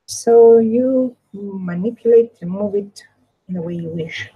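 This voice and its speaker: Husky Greek-accented woman, casual crafting host. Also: medium pitch, a little slow, phone-quality sound. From Greece. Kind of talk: casual live craft demonstration with chat.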